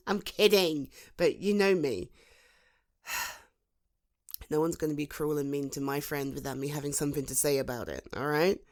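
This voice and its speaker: A woman's voice: sits low, a little mellow, natural voice. Soothing, supportive British female friend acted by a woman. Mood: playfully protective. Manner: soothing, encouraging, with thoughtful pauses. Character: supportive, protective female friend giving gentle reassurance. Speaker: voice actor in an audio roleplay. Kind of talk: ASMR roleplay, conversational one-sided dialogue.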